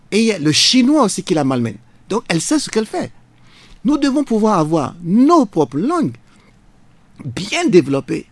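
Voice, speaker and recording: Emphatic man, high animated delivery, dry studio sound. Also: no room sound. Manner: passionate, emphatic, preacherly cadence. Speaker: guest, jurist. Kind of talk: radio debate. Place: radio studio.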